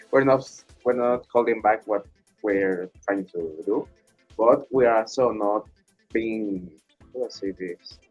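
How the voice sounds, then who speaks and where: A man's voice: lowish voice, takes it slowly, slightly rough, soft background music. Laid-back man with a dull sound. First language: Spanish. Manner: laid-back, laughs often, slangy. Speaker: student co-host. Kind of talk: casual radio chat.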